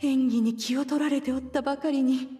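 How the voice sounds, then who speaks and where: A woman's voice: high-pitched, steady tone, a little fast, warm tone, husky, soft background music. A woman voicing a proud immortal lady who poses as a doting auntie in a video game, theatrical and mannered. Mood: haughty, musing. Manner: switches between doting feminine chatter and archaic haughty speech. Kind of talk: Japanese video game voice acting.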